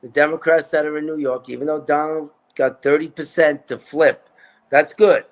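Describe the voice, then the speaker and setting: A man's voice: on the high side, fairly even intonation, moderate pace. A man talking as a political candidate in a casual interview, emphatic and repeating his points.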